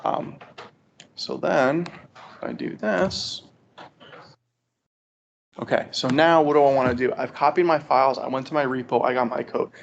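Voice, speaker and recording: A man's voice: medium pitch, moderate pace, vocal fry, pauses often. Dull-sounding man walking through a technical demo. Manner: conversational, often tags sentences with "right?". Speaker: presenter of a study group session. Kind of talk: informal technical walkthrough with live demo. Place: group meetup with video conference call. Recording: dull, lo-fi audio with background noise.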